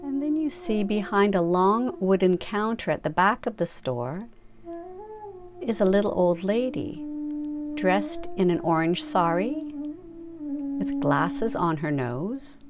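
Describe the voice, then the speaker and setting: A woman leading a guided meditation, giving soft, unhurried instructions to relax and imagine. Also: medium pitch, expressive. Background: music.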